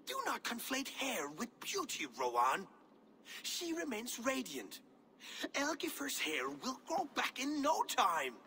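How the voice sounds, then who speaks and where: Pompous artist guy in a video game, super animated and bright, florid and theatrical. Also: very high-pitched, slow pace, slight background noise, full character voice. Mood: indignant. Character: pompous, vain male painter, unrepentant village eccentric. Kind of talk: dramatic video game acting.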